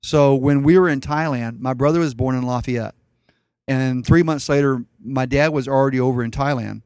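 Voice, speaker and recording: A man's voice: medium pitch, moderate pace, a little mellow, clear, resonant tone, no echo. A middle-aged man telling family stories in a blunt, wry way, a guest in casual conversation. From Colombia. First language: Spanish. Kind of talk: casual storytelling.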